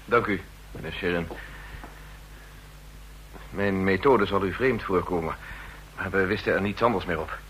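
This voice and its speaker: An earnest, worried male official from a vintage radio play who has come for help. A man acts him out with a low, bright, forceful voice and a faint hiss in the background. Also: lightly acted, poor audio. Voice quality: earnest, anxious. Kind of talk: vintage radio drama acting.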